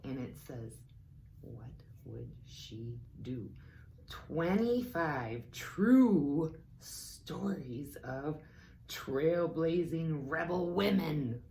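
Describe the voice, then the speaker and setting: A woman reading a book aloud to children as a teacher, slow and deliberate, with playful asides to her listeners. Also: low-pitched, lively intonation, vocal fry, dark tone, a little hiss or hum. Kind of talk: read-aloud book lesson.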